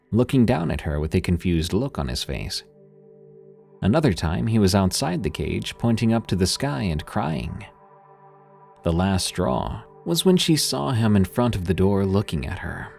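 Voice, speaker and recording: A man narrating a scripted horror story in an expressive storytelling manner. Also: on the low side, gravelly.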